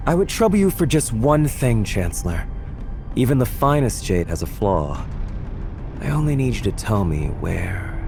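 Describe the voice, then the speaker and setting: A man voicing a cunning, smooth schemer in a video game, speaking in a measured, formally polite and insinuating way. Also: on the low side, very animated, music in the background. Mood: silky menace. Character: cunning, smooth male schemer coolly pressuring a chancellor. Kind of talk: dramatic video game voice acting.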